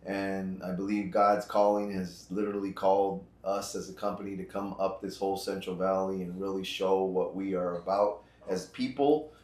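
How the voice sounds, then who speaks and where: A man's voice: sits low, fairly even intonation, normal speed, hardly any pauses, a little mellow, echoey room. A fifty-year-old man, a finance broker and interview guest, talking in an earnest, heartfelt way and often repeating his points. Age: 50s. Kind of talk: casual interview chat.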